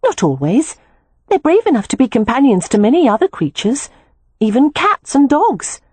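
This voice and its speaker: A woman's voice: high voice, big pitch swings, moderate pace, darkish tone, husky, no echo, voice pushed up, exaggerated voice. A woman voicing a frank young animal lover. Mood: fond. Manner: frank, matter-of-fact. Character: earnest young woman who loves animals.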